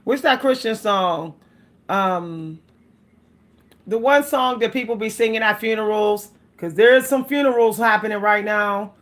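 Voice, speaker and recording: A woman's voice: mid-range voice, measured pace, fairly bright tone. A woman hosting a casual livestream, chatting with viewers, laughing often and breaking into song. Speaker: livestream host. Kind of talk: casual livestream chat with singing.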